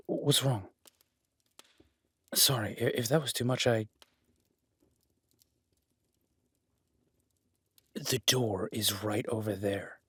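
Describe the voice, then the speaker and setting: A man's voice: medium pitch, husky, no echo. A man playing a teasing, protective woodland cabin host, speaking intimately in an audio roleplay. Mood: alarmed, soothing. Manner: teasing, soft-spoken, intimate.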